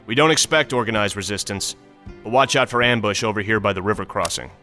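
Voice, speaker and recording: Forceful American army briefing officer. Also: male voice, lowish voice, fast, faint music behind. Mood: stern, businesslike. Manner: clipped, authoritative, commanding. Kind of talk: scripted video game mission briefing.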